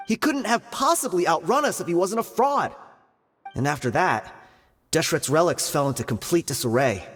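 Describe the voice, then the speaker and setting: A man's voice: a little high, animated, moderate pace, fairly bright tone, husky. An actor playing a cowardly male bandit guard who stammers and talks his way out of blame, in a video game. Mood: scornful. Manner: nervous, stammering, defensive. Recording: game audio with reverb and music.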